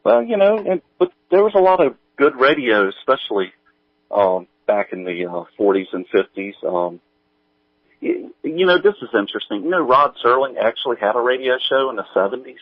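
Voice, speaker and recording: A man chatting casually as an interview guest, thinking aloud in a halting, rambling way. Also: medium pitch, sing-song, dry recording, narrow, tinny audio. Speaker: guest, audio drama writer and producer.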